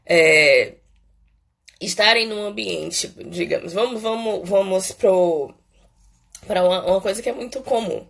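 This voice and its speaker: A woman from Salvador, a psychologist hosting a casual live Q&A, hoarse and stuffy from a cold, chatty and peppering her talk with "né". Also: mid-range voice, a bit bright. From Salvador, Bahia, Brazil. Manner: chatty, fills pauses with "né" and "é". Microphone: phone.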